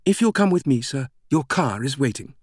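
A man's voice: on the high side, sing-song, normal speed, no room sound, clean studio sound, voice pushed up. A man voicing an exuberant, chatty middle-aged British woman with a sing-song, gushing delivery in an audiobook. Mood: bright, exuberant.

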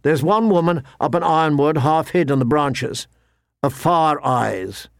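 A man's voice: a little high, unhurried, gravelly, no echo, clean studio sound. A man voicing a wary poacher turned scout in an audiobook, reporting in plain, guarded, rustic speech.

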